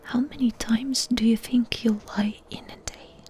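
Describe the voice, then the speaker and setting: A woman doing a soft-spoken ASMR roleplay, asking questions in an intimate, unhurried whisper. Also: fairly high pitch, steady tone, a little hiss or hum.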